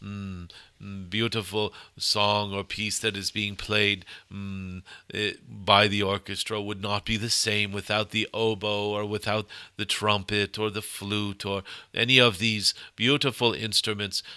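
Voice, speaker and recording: Man channeling a deep, bright-toned humming dragon sage. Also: little pitch movement, smooth, dry recording. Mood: warm, explanatory. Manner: halting, ceremonious phrasing punctuated by frequent humming "mm". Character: channeled cosmic golden dragon, benevolent, wise, loving teacher. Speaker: channeler giving spiritual guidance. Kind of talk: channeled spiritual message to an audience.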